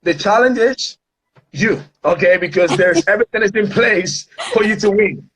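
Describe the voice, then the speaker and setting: A man's voice: high voice, moderate pace, a bit bright, a little hiss or hum, rough recording. A man from Ghana, speaking as a guest in an online interview in an upbeat, motivational way with many fillers.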